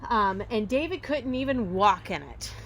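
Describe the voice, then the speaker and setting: A woman reading a children's story aloud expressively, with dramatic emphasis, pauses and occasional hushed phrasing. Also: on the high side, expressive, slight background noise, rough recording. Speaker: storybook reader.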